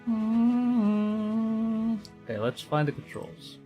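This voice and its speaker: A man from the Philippines chats casually as he hosts a game livestream. His delivery is unhurried and halting, and he often circles back to restate a phrase. Faint music plays behind his mid-pitched voice. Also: lively intonation, dark tone. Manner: hesitant, halting, repeating and rephrasing phrases. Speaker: VTuber livestream host.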